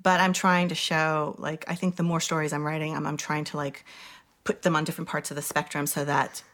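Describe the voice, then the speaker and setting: Low-voiced woman, thoughtful and searching. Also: little pitch movement, fairly quick, a bit bright. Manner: thoughtful, searching for words with many fillers. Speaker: playwright. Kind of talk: casual panel conversation.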